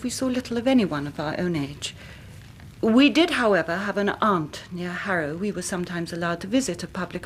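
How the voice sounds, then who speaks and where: An English actress playing an anxious, careworn young Victorian gentlewoman in a radio drama, speaking formally and precisely. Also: medium pitch, slight background noise, close to an everyday voice. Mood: grave, intense. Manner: formal, precise, dramatic narrating with hushed moments. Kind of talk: British radio drama acting.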